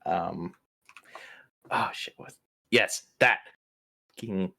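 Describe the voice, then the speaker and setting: A man with a low, gravelly voice, chatting casually as a player in a tabletop game. He is jokey and swears freely. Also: drawn-out words, bright, forceful tone. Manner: profane, joking. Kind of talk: casual banter during an online tabletop game.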